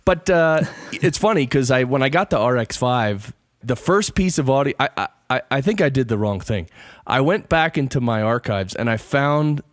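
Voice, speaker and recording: A man's voice: mid-range voice, lively intonation, normal speed, no room sound, clean studio sound. A man hosting a podcast interview, talking casually and telling stories with emphasis. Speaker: podcast host.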